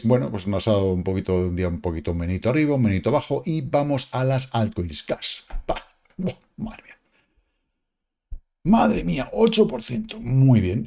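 A man, casually commenting on crypto charts in a lively, slangy way, with exclamations and sound effects, recorded at a home desk. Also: fairly low pitch. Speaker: crypto market analyst. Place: home studio, indoors. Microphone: webcam framing, seated at desk.

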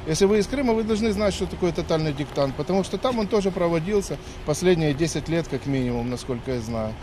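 A man's voice: a little high, lively intonation, quick pace, darkish tone. Argumentative man in his fifties. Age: 50s. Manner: argumentative, lecturing with many hesitations. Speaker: dictation participant. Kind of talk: street interview answers. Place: outdoors on a city street. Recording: faint street noise.

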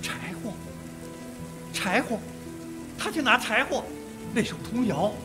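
An actor in a radio drama playing a suspicious, blunt police detective who probes and is easily rattled. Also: male voice, extremely high pitch, expressive, slow pace, over music, full character voice. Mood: uneasy.

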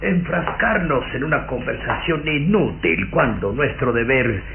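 A man's voice: mid-range voice, expressive, unhurried, narrow, tinny audio. An actor playing an elderly, effusive and domineering nobleman father, pompous and sometimes hesitant, in an old radio drama. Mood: scolding, placating. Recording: thin, noisy old radio recording.

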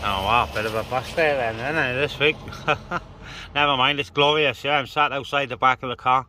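A man's voice: medium pitch, moderate pace, sharp tone, a little hiss or hum. A man chatting casually in a laid-back, informal way as he hosts an outdoor vlog and talks on CB radio. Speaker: host, CB radio operator. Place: outdoors on open moorland by car boot.

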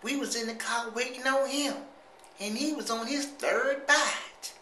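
A rambling, gossipy country sibling, put on by a middle-aged man, drawls slowly. The voice is bright and a little pinched. It echoes around a small tiled bathroom, caught on a handheld camera, with a faint hiss underneath. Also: very high-pitched, exaggerated voice. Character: slow, simple-minded gossipy country sibling. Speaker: comedy vlogger. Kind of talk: comic monologue to camera. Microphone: handheld camera at arm's length.

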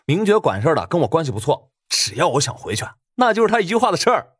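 A man's voice: fairly high pitch, very animated, fast talker, husky, no echo, studio quality. A man playing an easygoing, hesitant working man and loyal buddy, talking colloquially in an audiobook drama.

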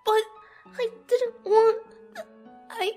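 Tiny-voiced, timid child. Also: female voice, very high-pitched, fairly even intonation, drawn-out words, mellow, faint music behind, heavily acted. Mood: distraught, guilty. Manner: stammering, tearful. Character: timid, guilt-ridden child, gentle and apologetic. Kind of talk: cartoon comic dub acting.

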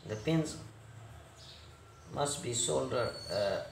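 Male repair technician, tutorial read: slow, halting non-native phrasing, echoey room with noise. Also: medium pitch, lively intonation. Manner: halting, fragmented instructional delivery with non-native English phrasing. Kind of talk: step-by-step hands-on repair tutorial. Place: indoor repair workbench. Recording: roomy echo, clear background noise.

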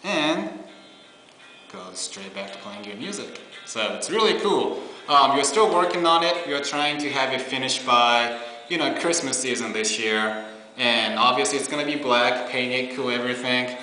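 A man's voice: mid-range voice, moderate pace, pushed and bright. A man in his twenties giving a casual, laid-back product demo in an informal way. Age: 20s. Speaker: product presenter. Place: small bare meeting room indoors. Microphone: camera on table, about arm's length or farther. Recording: echoey room, faint music.

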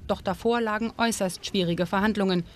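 A woman narrating a documentary and interviewing, measured and clear. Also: medium pitch, steady tone, a little hiss or hum. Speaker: documentary presenter. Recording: music bed under voice.

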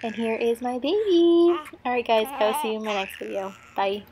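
A woman's voice: a little high, lively intonation, moderate pace, background noise. A young woman in her twenties, from San Diego, chatting casually and upbeat as she does laundry at home, rambling with ums and likes, recorded on a camera mic that is sometimes held at arm's length and sometimes across the room. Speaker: mom vlogger. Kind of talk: casual chat over household chores. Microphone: camera mic, sometimes handheld at arm's length, sometimes from across the room.

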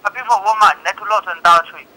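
High, sharp man on phone. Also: quick pace. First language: Chinese. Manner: clipped, questioning, repeats to confirm. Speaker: restaurant employee taking orders. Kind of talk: terse transactional phone talk. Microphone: heard over a phone. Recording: tinny telephone line.

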